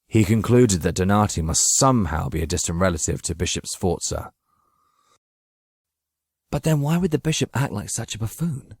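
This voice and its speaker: A man's voice: on the low side, expressive, moderate pace, a little mellow, husky, dry recording, clean studio sound. A man narrating a dramatic story from a script as an audiobook reader.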